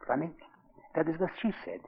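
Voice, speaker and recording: A man's voice: fairly high pitch, creaky, very noisy. A man acting a genial, amused French hotel keeper in a 1940s radio drama. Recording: muffled tinny 1940s radio recording.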